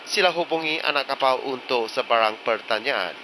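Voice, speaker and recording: A man reading scripted cabin crew announcements in a formal, measured manner. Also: mid-range voice, rough recording. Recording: loud aircraft cabin background noise.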